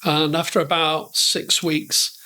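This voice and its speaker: Chatty northern English man, higher voice. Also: level tone, slow, bright, forceful tone, poor audio. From northern England. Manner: rambling, self-deprecating, frequent restarts. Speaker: podcast guest. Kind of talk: conversational storytelling.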